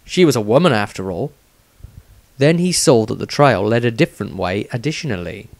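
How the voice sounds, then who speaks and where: A man reading his own fantasy story aloud in a deliberate, dramatic storytelling manner. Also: on the low side, animated, gravelly, darkish tone, dry recording. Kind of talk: scripted fantasy audiobook narration.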